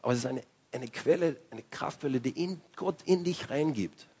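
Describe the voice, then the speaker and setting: A man's voice: medium pitch, normal speed, warm tone, breathy edge, muffled recording. A man preaching a sermon in a conversational way, often restarting and searching for words.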